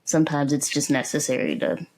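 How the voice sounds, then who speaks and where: A woman's voice: sits low, lively intonation, a little fast, creaky, a little mellow, low-quality recording. A woman hosting a podcast, chatting in a laid-back, agreeable way and telling stories with quoted dialogue. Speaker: podcast host. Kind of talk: casual conversation.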